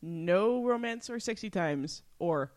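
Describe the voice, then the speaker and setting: A woman's voice: mid-range voice, expressive, takes it slowly, darkish tone. A woman co-hosting a podcast, giving a casual, playful recap and commentary with a giggly manner. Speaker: podcast co-host.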